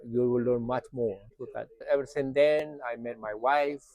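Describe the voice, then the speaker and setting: A man's voice: medium pitch, steady tone, normal speed, a little mellow, no echo. Spanish-accented man in a dry room. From Uruguay. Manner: plain, loose English grammar, frequent uh. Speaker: restaurant co-owner. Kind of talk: casual interview answers. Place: restaurant.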